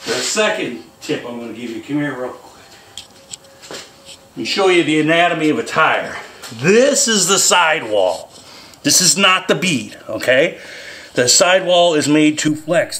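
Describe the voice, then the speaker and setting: A man's voice: a little high, fairly bright tone. A man in his forties hosting a casual how-to demonstration in his garage, chatty and joking while he works. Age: 40s. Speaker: homestead channel host. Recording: echoey garage, distant mic, noisy.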